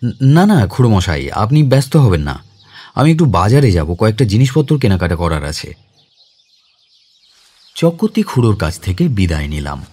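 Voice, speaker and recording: A man's voice: lowish voice, sing-song, moderate pace, pauses often, a little mellow, slightly rough. An actor playing a kind, reflective man visiting his home village, narrating the dramatised story himself. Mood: respectful, modest. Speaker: narrator and lead character.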